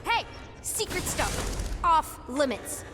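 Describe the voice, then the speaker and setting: A woman's voice: high voice, sing-song, takes it slowly, long reverb tail, over music, heavily acted. An actress playing a sarcastic, secretive young teenage girl in a video game, with an American accent and a casual, sardonic manner. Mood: guarded, self-conscious. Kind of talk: naturalistic video game voice acting.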